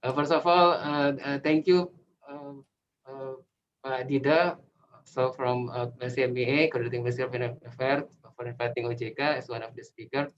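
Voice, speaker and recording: A man with an Indonesian accent giving a webinar presentation as a financial regulator, halting with many fillers and self-deprecating remarks. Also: medium pitch, fairly even intonation. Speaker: invited speaker, financial regulator. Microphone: video call mic. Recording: slightly dull video call audio with faint noise.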